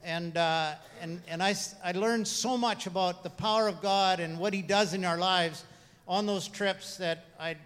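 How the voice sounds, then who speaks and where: A man with a high-pitched voice hosts a church service and introduces a guest. He is informal and halting, often repeating phrases. Long hall reverb surrounds his voice. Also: fairly even intonation, fairly bright tone, clear, resonant tone. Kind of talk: informal spoken introduction to a congregation. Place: large room, church service.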